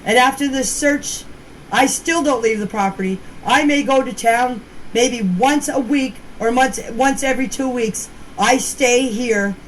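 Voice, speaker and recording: Higher-voiced woman in noisy voice-message clips. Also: a little slow. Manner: plain-spoken, rambling, folksy phrasing. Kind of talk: casual personal account in recorded voice messages.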